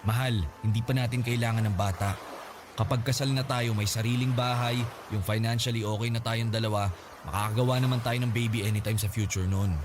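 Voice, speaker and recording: A man playing a fast-talking evasive boyfriend. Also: mid-range voice, steady tone, background noise, close to an everyday voice. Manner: evasive, dismissive. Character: young boyfriend refusing to take responsibility. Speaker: voice actor. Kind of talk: radio drama acting.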